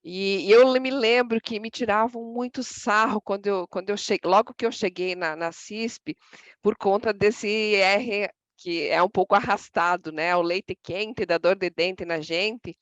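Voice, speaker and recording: Woman, São Paulo countryside accent, thoughtful, medium pitch, dry and slightly dull sound. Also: steady tone, unhurried, no room sound. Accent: São Paulo countryside, strong rolled R. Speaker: president of the association. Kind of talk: podcast conversation.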